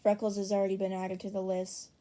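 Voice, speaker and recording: A woman's voice: mid-range voice, completely flat delivery, quick pace, warm tone. A young woman reading fan fiction aloud as narrator in an amateur audiobook style.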